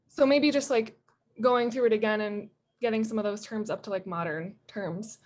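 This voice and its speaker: A woman's voice: medium pitch, steady tone, normal speed, a little mellow. A woman giving casual, unscripted feedback on a video call in a tentative, hedging way. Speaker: participant giving script feedback.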